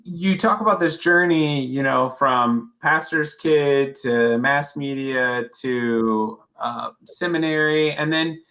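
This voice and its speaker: A man's voice: fairly high pitch, bright, forceful tone. A man hosting a podcast interview, asking long, rambling questions with many fillers and restarts. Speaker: podcast host.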